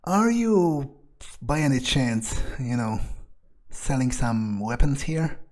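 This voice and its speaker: A man's voice: mid-range voice, lively intonation, slow, darkish tone. A man doing in-character gameplay commentary, thinking aloud in a musing, muttering, crude way. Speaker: role-playing game streamer, speaking in character. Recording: faint noise and game ambience.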